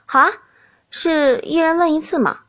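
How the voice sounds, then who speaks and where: A woman playing a feisty, excitable young princess who is easily flustered, in an audiobook. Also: high-pitched, moderate pace. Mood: wary, eager. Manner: excitable, indignant. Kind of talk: audiobook character voice.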